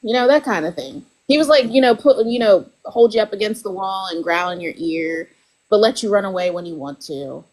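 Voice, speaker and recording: A woman's voice: medium pitch, expressive, brisk, poor audio. A woman guest author in casual podcast chat, talking loosely with lots of fillers and frequent laughs. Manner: chatty, laughs often, lots of "like" and "you know".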